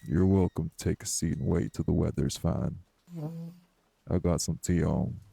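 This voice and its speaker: A man playing a morose older wraith in an audio drama, blunt, folksy and scolding, with a husky, gravelly voice. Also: steady tone, normal speed, exaggerated voice. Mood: reserved, hospitable. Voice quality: very gravelly, husky, dark low voice. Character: morose older male wraith, rural homebody, stern but hospitable. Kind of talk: dramatic audio roleplay acting.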